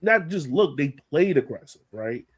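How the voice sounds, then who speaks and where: A man hosting a sports podcast, talking through a match in an animated, casual way and often checking his points with a quick "right?". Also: a little high, slightly rough. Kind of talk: casual match analysis chat.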